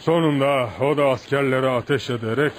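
Bir adamın canlandırdığı saygılı, hayranlıkla efsane anlatan köylü, gürültülü kayıt. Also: male voice, medium pitch, a little fast, lightly acted. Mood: dramatic. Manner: animated folk storyteller, addresses listener as sir. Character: rustic village man, deferential, admiring teller of outlaw legends. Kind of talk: radio theatre acting. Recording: noisy radio drama recording.

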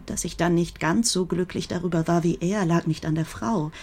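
A woman playing a grieving, protective older sister who gives an earnest, confiding statement in a German radio crime drama, with a husky voice. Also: lowish voice, moderate pace, a little mellow. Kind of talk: German radio play acting, recounting testimony.

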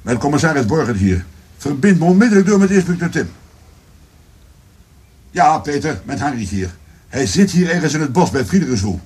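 An actor playing a determined, brooding police commissioner in a radio drama. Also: male voice, on the high side, very animated, moderate pace, long pauses, a little mellow, slightly rough. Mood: urgent, commanding. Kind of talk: Dutch radio drama acting.

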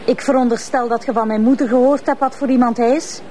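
A defiant young woman, played by an actress, speaks in a radio play. She sounds cold and scornful in a higher voice, with faint noise behind. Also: steady tone, fast, dark tone, lightly acted.

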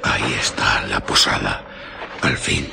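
An actor playing a weary, muffled and mysterious male stranger in a radio drama, speaking in terse, halting, imperious phrases. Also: a bass voice, gravelly, noisy background, heavily acted. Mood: exhausted, pleading.

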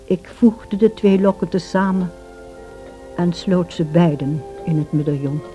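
A woman playing a sorrowful, gentle servant who narrates past events in a radio play in a measured way. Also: on the low side, soft background music, lightly acted. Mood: measured, sombre. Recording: dull old radio play recording.

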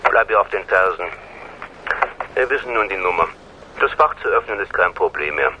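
An actor playing a curt boss who gives orders for a covert tail in a radio crime drama. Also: male voice, sits low, animated, normal speed, muffled recording, noisy background. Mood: cool, commanding. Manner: curt, questioning, giving orders. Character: commanding male boss directing a covert tail.

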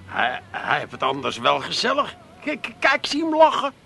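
An actor playing an eager, naive busybody in a comic radio play, raspy, insistent and prone to stammering. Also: male voice, a little high, huge pitch swings, moderate pace, bright, forceful tone, exaggerated voice. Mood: excited, pleading. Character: eager, naive male busybody reading out horoscopes.

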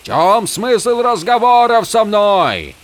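A man's voice: a little high, big pitch swings, takes it slowly, pitched above the performer's own voice, heavily acted. A man voicing a desperate, defiant warrior prince in a fantasy audiobook, crying out to a god in impassioned demands and pleas. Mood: demanding. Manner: shouting, pleading, impassioned.

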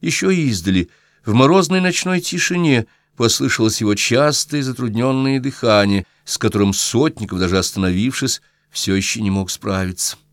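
A man's voice: mid-range voice, normal speed, no room sound, clean studio sound. A man narrating literary prose as an audiobook reader, in scripted storytelling delivery.

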